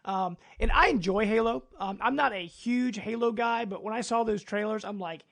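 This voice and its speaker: A man's voice: sits high, moderate pace, creaky, fairly bright tone. A man hosting a casual podcast in an agreeable, chatty way. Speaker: podcast host.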